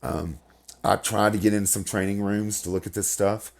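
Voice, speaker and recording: A man's voice: fairly low pitch, steady tone, moderate pace. A middle-aged man with a crackly voice, rambling through casual game commentary from his home setup. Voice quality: crackly, gravelly voice. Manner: rambling, repeats words, swears when annoyed. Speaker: gaming video host. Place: home room, indoors.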